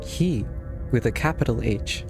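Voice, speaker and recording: A man gives casual reaction commentary as a game streamer. His voice sits at a middle pitch, and he thinks aloud in a musing, reactive way. Game music plays clearly behind him. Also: lively intonation, unhurried. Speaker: streamer playing a visual novel.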